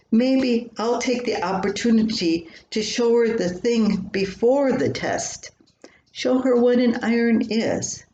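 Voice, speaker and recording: Slow-paced older woman storyteller, roomy echo. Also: on the high side, darkish tone. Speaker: writer reading own story. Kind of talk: scripted personal storytelling, read aloud. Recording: roomy echo, faint background noise.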